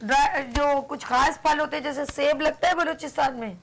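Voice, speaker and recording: A woman's voice: high-pitched, moderate pace, creaky, fairly bright tone, slight background noise. A woman with a Pakistani Urdu accent hosting a live morning TV show, chatting and teasing playfully, her throat a little sore. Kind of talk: casual live TV chat.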